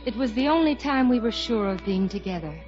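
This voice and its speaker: An actress playing a romantic young showboat leading lady in a 1950s radio musical, measured and wistful. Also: on the high side, lively intonation, darkish tone, close to an everyday voice. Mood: wistful, dreamy. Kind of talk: 1950s radio musical drama narration and acting. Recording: muffled old radio broadcast with music.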